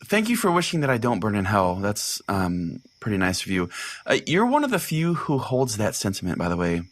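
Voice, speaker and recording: A man's voice: on the low side, huge pitch swings, fairly quick, dry recording. A man playing a crude, oblivious small-town pastor who hosts a radio call-in show, folksy and theatrically earnest. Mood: irreverent, folksy. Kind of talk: comedic mock-radio sermon and host banter.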